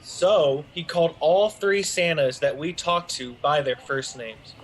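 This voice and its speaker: Higher-pitched, businesslike policeman played by a man. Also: measured pace, fairly bright tone, poor audio. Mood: deductive, intent. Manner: reasoning, businesslike. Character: methodical male police officer working a case.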